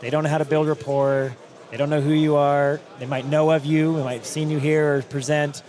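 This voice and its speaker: A man hosting a hallway interview at a conference, joking, laughing and asking quick follow-up questions. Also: fairly high pitch, level tone, a little mellow. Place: outdoors at a conference venue.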